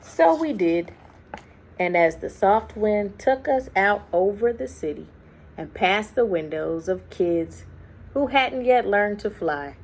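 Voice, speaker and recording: A woman reading a children's story aloud expressively, with deliberate dramatic phrasing and hushed, drawn-out words. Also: mid-range voice, gravelly. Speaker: children's book reader. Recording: faint background noise.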